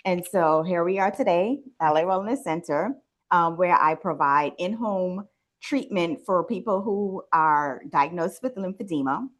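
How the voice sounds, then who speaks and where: Woman, forties, from the Bahamas, interview guest, lowish voice, slow conversational storytelling. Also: fairly bright tone. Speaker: interview guest, wellness business owner and nurse.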